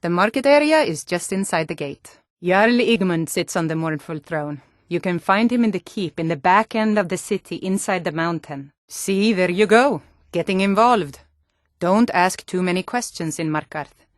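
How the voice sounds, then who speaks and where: An actress voicing plain-spoken Nord townswomen, guards and traders in scripted fantasy video game dialogue. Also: female voice, lowish voice, animated, normal speed, dry recording, clean studio sound, close to an everyday voice. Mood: brisk, stern.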